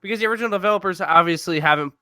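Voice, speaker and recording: A young man co-hosting a casual gaming podcast, loud, excitable and boastful, often feigning outrage. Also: high voice, rapid-fire, clean tone, no echo, studio quality. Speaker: podcast co-host.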